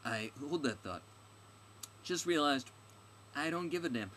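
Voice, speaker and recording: A man's voice: fairly high pitch, expressive, unhurried, fairly bright tone, pitched above the performer's own voice. A man voicing a brash, foul-mouthed, impatient warrior woman in a fantasy story, with a blunt, sarcastic delivery. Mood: impatient, pushy. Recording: clearly noisy recording with ambient background.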